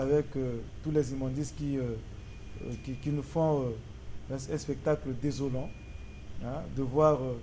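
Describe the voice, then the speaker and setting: A man with a Congolese accent hosting a talk show and wrapping up for viewers in a halting, hesitant way, often repeating words. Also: mid-range voice, dark tone, slight background noise, low-quality recording. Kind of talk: spoken show wrap-up to viewers.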